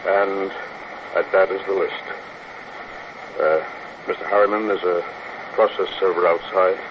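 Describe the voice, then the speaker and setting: A muffled, noisy vintage broadcast carries a timid male secretary. His voice is on the low side, formal and hesitant, always deferring, in fifties radio acting style. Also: flat delivery, unhurried. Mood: dutiful, hesitant. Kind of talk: 1950s radio drama acting.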